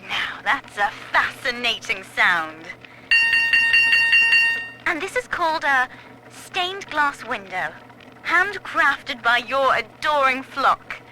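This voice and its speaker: Curious young daughter, game audio log, prim and needling, very lively high voice, noisy recording. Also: female voice, slow, long pauses, heavily acted. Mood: curious, wry. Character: sheltered, curious young daughter, politely needling her mother.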